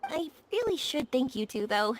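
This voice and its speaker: A woman playing a bubbly, warm-hearted young woman in an anime-style video game, gushing and earnest in her delivery. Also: sits high, sing-song, normal speed, a little hiss or hum. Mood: bubbly, grateful.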